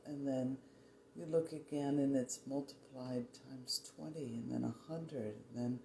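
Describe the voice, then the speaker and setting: Meditation guide, androgynous voice, slow delivery, mellow tone, creaky fry, soothing phrasing, long pauses. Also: mid-range voice, steady tone. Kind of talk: guided meditation, spiritual affirmations. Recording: roomy echo, faint background noise.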